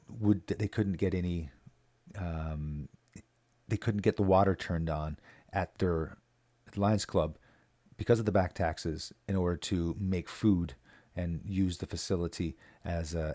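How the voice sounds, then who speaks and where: A man's voice: low voice, dark tone, dry recording. A man giving a casual, earnest community update, speaking plainly with many fillers and restarts. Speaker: local community advocate. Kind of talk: casual spoken video update.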